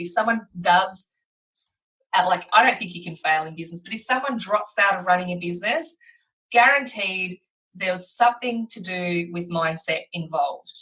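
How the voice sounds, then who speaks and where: A woman's voice: mid-range voice, pushed and bright, recorded from a distance, low-quality recording. A woman with an Australian accent hosting a podcast in easygoing conversation, chatty and often restarting her sentences. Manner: chatty, searching for words, with frequent restarts. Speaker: podcast host. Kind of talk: casual interview chat.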